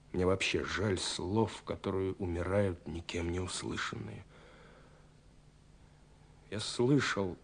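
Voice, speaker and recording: An actor playing a lovelorn, wistful young man reading his letters in a radio play, brooding and dramatic, moving between hushed and impassioned. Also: on the low side, full character voice. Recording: hissy 1970s radio recording.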